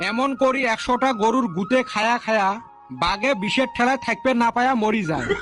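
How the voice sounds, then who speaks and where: A cheeky cartoon schoolboy played by a man with a very high voice. He gives smart-aleck answers as if earnestly explaining, with loud music underneath. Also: fairly quick. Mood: mock-earnest. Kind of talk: comedy cartoon dubbing.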